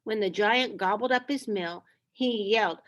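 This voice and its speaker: A woman reading a children's story aloud in an expressive, deliberate way. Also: mid-range voice. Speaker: storybook reader. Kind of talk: scripted children's story narration.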